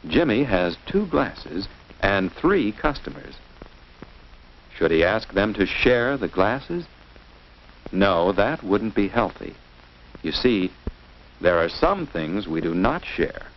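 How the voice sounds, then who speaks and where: A man's voice: on the low side, big pitch swings, slow, pauses often. An American man reading scripted narration for a 1950s children's instructional film, in a didactic way, putting questions to young listeners. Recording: muffled, noisy old 1950s film soundtrack.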